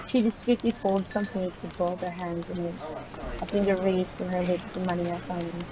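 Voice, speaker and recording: A woman gamer reading visual novel narration aloud, halting and stumbling over words. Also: lowish voice, level tone, low-quality recording.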